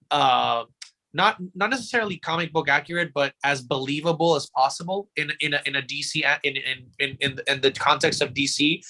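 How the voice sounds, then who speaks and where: Man, slightly high voice, bright and forceful, casual podcast chat, emphatic, repetitive phrasing. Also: rough recording. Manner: emphatic, repeats words and phrases. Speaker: podcast co-host. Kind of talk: casual pop culture discussion.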